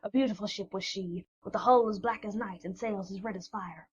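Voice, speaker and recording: A woman's voice: mid-range voice, a little fast, slightly rough, natural voice. A woman reading a kind, sorrowful old fisherman. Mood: mournful. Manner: gentle, mournful storytelling. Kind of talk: storybook reading. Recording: dull lo-fi recording.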